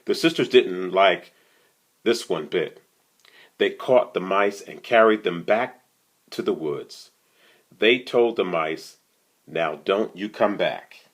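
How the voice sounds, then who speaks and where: Storytime reader: male, very low register, takes his time, conversational delivery with asides to the kids. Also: slow pace, pauses often. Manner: conversational, often asks the listener questions. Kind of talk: reading a picture book aloud, with casual asides to young listeners.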